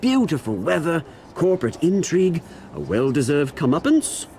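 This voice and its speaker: A man's voice: on the high side, wildly swooping pitch, moderate pace, a little mellow, a little hiss or hum. An actor playing a smug, scheming male crime boss, sneering and theatrical. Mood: gloating. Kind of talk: video game voice acting.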